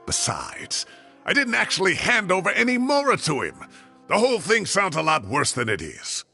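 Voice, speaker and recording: An actor playing a fussy, indignant retired professor of advanced age, grumbling and theatrical, in a game scene with faint music. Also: male voice, high-pitched, huge pitch swings, moderate pace, creaky, bright, forceful tone, full character voice. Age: older.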